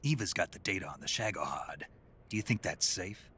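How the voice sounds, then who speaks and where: An actor playing a gruff, stoic special agent in a video game, terse and gravelly. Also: male voice, lively intonation, fairly quick, pushed and bright, heavily acted. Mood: terse, focused. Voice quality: very gravelly, husky. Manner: terse, low-key military delivery. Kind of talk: video game cutscene acting.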